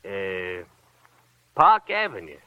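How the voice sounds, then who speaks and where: Animated New York cabbie, old radio. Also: male voice, low-pitched, slow pace, clear, resonant tone, no room sound, exaggerated voice. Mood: confiding. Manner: expressive, effusive, homely. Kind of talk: 1940s radio acting. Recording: dull vintage 1940s radio recording.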